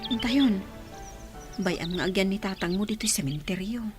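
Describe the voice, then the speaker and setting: A woman's voice: mid-range voice, lively intonation, moderate pace, over music, lightly acted. A woman acting a chatty, nosy village auntie who serves customers in a radio comedy drama. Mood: gossipy.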